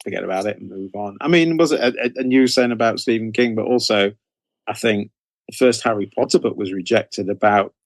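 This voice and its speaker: A man's voice: fairly low pitch, a little fast, dry recording. A middle-aged man giving conversational interview answers as a guest author, rambling a little as he repeats and rephrases.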